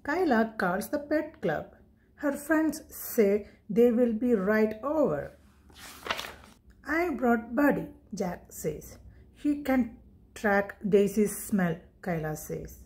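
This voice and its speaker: Slow, animated woman reading a storybook. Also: fairly high pitch, slight background noise. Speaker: read-aloud storyteller. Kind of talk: children's picture book read-aloud.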